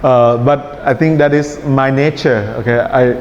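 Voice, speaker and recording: A man with a Thai accent teaching an IELTS writing class in a relaxed lecture style, often checking in with his students. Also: medium pitch, measured pace. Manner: relaxed, checks in with "right?" and "okay?". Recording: echoey hall, dull sound, faint hiss.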